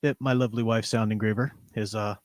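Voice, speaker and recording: A man's voice: on the low side, fairly even intonation, fast, no echo, clean studio sound. A man hosting a casual live-stream book discussion, talking in an informal, rambling way. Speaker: host. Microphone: newly borrowed, older studio microphone.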